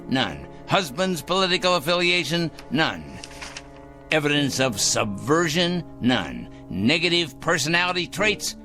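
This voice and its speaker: A man's voice: a little high, bright, forceful tone, exaggerated voice. An actor in a radio drama playing a fussy, sanctimonious, secretive man obsessed with judging evil, speaking precisely and condescendingly. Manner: pompous, condescending, precise and theatrical.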